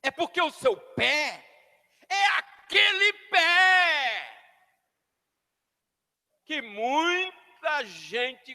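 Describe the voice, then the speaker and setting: Pastor, slow lively delivery, yells then goes quiet, echoey church. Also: male voice, extremely high pitch. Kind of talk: sermon. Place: church hall. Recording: long hall reverb.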